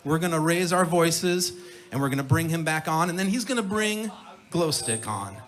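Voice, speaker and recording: A man's voice: on the high side, expressive, moderate pace, fairly bright tone. A man speaking informally and earnestly from a festival stage, rallying the crowd and repeating words for emphasis. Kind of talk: informal live crowd address.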